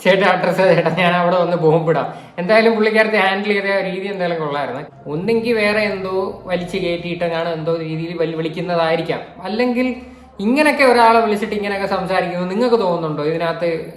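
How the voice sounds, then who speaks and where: An echoing room surrounds this woman with a Kerala accent. Her voice sits fairly low as she gives casual, conversational commentary to her viewers. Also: quick pace. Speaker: video commentator. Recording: roomy, echoing indoor sound.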